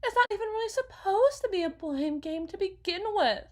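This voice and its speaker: A woman playing an anxious, fragile wife and mother in an ASMR roleplay, rambling haltingly and correcting herself as if blaming herself. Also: very high-pitched, expressive, a little mellow. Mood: wistful, defensive. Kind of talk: ASMR dramatic monologue roleplay.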